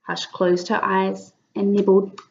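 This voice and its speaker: A woman reading a picture book aloud as an expressive storyteller, with dramatic emphasis for young listeners. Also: medium pitch, slow pace, gravelly, dull audio. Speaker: children's story reader.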